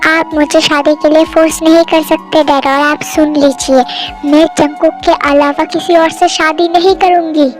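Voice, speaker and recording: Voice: high voice, little pitch movement, normal speed, soft background music, natural voice. A young reader voicing a headstrong college-age daughter, arguing in a defiant, pleading way.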